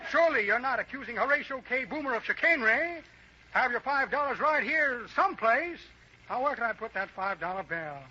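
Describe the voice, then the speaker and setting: Pompous, piercingly bright radio con man. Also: extremely high pitch, animated, moderate pace, full character voice. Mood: slippery, bluffing. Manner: pompous, florid patter, muttering to himself. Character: boastful, shifty male con man and amateur magician. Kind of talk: 1940s American radio comedy acting. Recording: muffled, hissy old-time radio recording.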